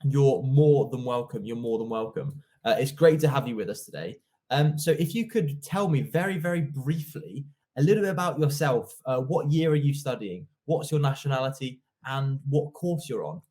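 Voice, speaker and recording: A man's voice: medium pitch, normal speed, dark tone. A young man hosting an online interview in a polite, formal, effusive way. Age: young adult. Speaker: interviewer, host. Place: indoor office, video call.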